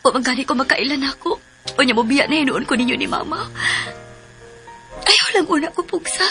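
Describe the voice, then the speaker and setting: A woman's voice: sits high, expressive, fairly quick, sharp tone, exaggerated voice. An actress playing a fragile, troubled young woman in love in a radio drama, speaking with a Filipino Cebuano accent. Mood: anxious. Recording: faint music bed under radio drama voice.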